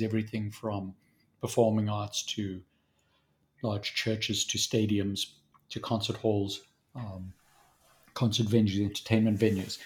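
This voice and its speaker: Southern african guy in his 50s, lowish voice, thinking out loud, lots of restarts. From born in Zambia, raised in South Africa. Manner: thoughtful, with frequent restarts and hesitations. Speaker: guest consultant. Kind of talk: conversational interview.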